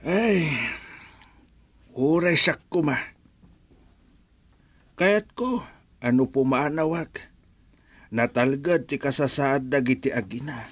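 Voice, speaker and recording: Unhurried, kindly older Ilocano father. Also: medium pitch, dry recording. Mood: sympathetic. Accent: Filipino, Ilocano. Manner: unhurried, hesitant murmurs. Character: easygoing, kindly older father, slow to speak. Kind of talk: radio drama acting.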